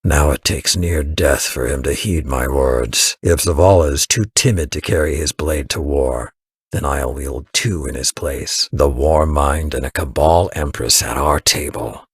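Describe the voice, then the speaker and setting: A stern old war veteran from a video game. His voice is extremely deep and very hoarse, recorded dry. He speaks gravely, with embittered reproach and force, as a mentor scolding. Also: measured pace, dry recording, heavily acted. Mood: bitter reproach. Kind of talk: dramatic video game voice acting.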